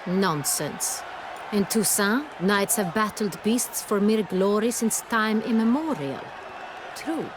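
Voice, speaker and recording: An actress playing an imperious, effusive young duchess, speaking in a regal, precise, theatrical manner. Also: female voice, mid-range voice, expressive, slow pace, background noise. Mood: haughty, brisk. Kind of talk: theatrical game dialogue. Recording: music and crowd behind the voice.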